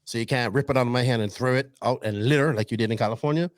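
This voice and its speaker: A man hosting a casual podcast, animated and teasing, rambling through his stories. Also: mid-range voice, racing, no room sound, clean studio sound. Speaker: podcast host. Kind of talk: casual chat.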